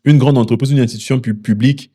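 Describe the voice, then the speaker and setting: A man, a startup founder answering interview questions in a measured way with many hesitations. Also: medium pitch, fairly even intonation, dark tone. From DR Congo. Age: 20s. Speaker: founder and CEO of a delivery startup.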